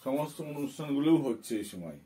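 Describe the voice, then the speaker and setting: A man with a Bengali accent teaching in a deliberate, dictation-like style, repeating phrases and checking that students follow. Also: mid-range voice, sing-song, warm tone. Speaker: English teacher. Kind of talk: dictation-style lesson, spelling out and translating phrases. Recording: distant microphone.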